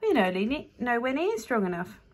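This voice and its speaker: A woman's voice: a little high, very animated, moderate pace. A woman voicing a curious, brave young girl who asks eager questions, reading a storybook.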